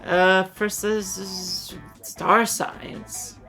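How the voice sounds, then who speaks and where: A woman streaming a game with casual commentary. She talks at an unhurried, slow pace in a mid-range voice, with faint music underneath. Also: medium pitch, little pitch movement, bright, forceful tone.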